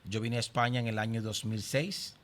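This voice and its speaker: A man's voice: on the low side, fairly even intonation, fairly quick, slight background noise. Dominican man giving a conversational testimony. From Santo Domingo, Dominican Republic. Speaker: pastor, podcast guest.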